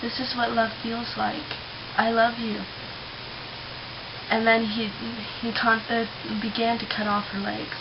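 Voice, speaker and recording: Loud hiss, muffled lo-fi audio, young woman, higher-pitched voice, informal vlog storytelling, halting delivery. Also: monotone. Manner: giggly, informal, swearing and halting. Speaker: vlogger. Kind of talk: casual chat and rambling storytelling.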